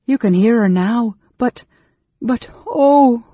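A man's voice: very high voice, takes it slowly, husky, no room sound, muffled recording, voice pushed up, full character voice. A man voicing a distraught young servant girl in a fantasy audiobook, tearful and gasping. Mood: sobbing, distraught.

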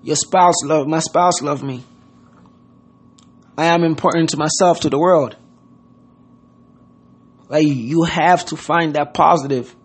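Guy on his podcast, earnest, kinda high voice, keeps saying you know what i mean. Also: steady tone, slow pace, pauses often, husky. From Haiti. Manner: earnest, repeats words, loose grammar, many "you know what I mean". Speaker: podcast host. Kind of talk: casual motivational monologue.

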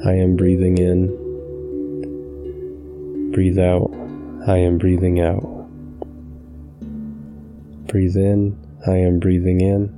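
Deep calm guy doing guided meditation, pretty flat soothing delivery, music with singing bowl behind him. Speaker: meditation guide, channel host. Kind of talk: scripted guided meditation narration.

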